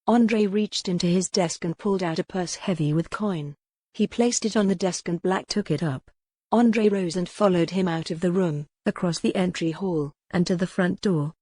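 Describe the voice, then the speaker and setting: Woman, audiobook narrator, scripted historical fiction, dramatic storytelling, fairly low pitch, dry studio sound. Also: normal speed, dark tone, breathy edge, no room sound.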